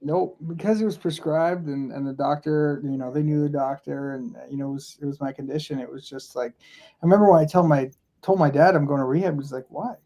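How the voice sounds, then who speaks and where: A man's voice: a little high, a little fast, mellow. A man from Florida, a podcast guest, telling a candid story in a casual, rambling way with many fillers and restarts.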